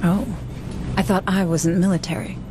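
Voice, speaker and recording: A woman's voice: on the low side, little pitch movement, moderate pace, background noise, natural voice. A woman playing a principled, determined company administrator in a video game, speaking earnestly and insistently. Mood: reluctant.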